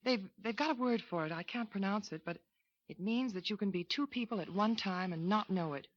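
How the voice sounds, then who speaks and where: A gentle young woman, as an actress plays her. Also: mid-range voice, brisk, close to an everyday voice. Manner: gentle, soothing, concerned. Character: kind young female model, neighbor. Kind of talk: 1940s radio acting. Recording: dull lo-fi vintage radio recording.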